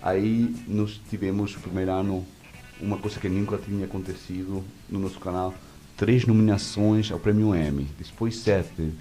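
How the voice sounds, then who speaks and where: A man with a Venezuelan accent and native Spanish, answering interview questions conversationally in halting speech, searching for words. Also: low-pitched, steady tone, mellow, faint music behind. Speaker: interview guest, media executive.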